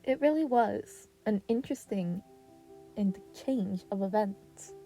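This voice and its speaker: A woman reading fan fiction aloud in an expressive narrating style. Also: mid-range voice, takes it slowly, faint music behind.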